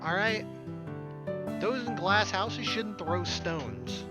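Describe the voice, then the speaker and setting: A man's voice: high voice, slow, loud music behind the voice. A man reading a visual novel aloud as a let's player, breaking into casual, sarcastic commentary.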